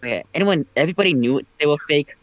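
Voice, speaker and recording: A man's voice: a little high, lively intonation, racing, no room sound. A man asking casual questions as a guest on a group stream, through a dull lo-fi voice-chat mic.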